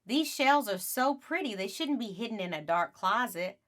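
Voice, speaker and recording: A woman's voice: medium pitch, expressive, normal speed, fairly bright tone, natural voice. A woman voicing a stubborn, curious young girl in a storybook, with an emphatic, protesting manner.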